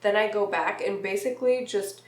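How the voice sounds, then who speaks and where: A young woman vlogging casually about beauty, chatty and laughing mid-sentence, her voice a little nasal and raspy from a recent illness. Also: medium pitch, normal speed, reverberant. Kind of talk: casual talk to camera.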